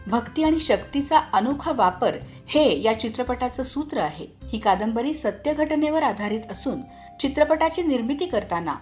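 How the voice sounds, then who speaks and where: A woman radio newsreader giving a formal, measured scripted news report. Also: on the high side.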